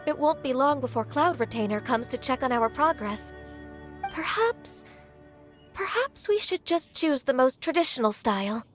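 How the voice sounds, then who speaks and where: A shy, gentle horned maiden. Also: female voice, sits high, expressive, normal speed, faint music behind. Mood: shy, polite. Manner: soft-spoken, hesitant, polite. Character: shy, polite young woman with horns.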